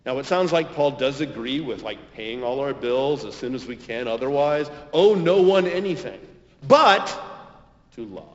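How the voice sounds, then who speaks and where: Male American preacher, medium pitch, measured sermon delivery with emphatic pauses; dull recording in a big echoing hall. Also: expressive. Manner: measured, deliberate phrasing with emphatic stresses and pauses. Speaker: pastor. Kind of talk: sermon, homily.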